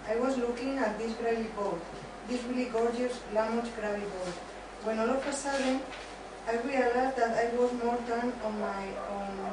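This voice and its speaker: A woman's voice: a little high, lightly acted. A woman playing a frazzled, rambling runaway bride in an amateur sitcom reading. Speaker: student in an amateur dramatized reading. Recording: distant, very echoey room, clear noise.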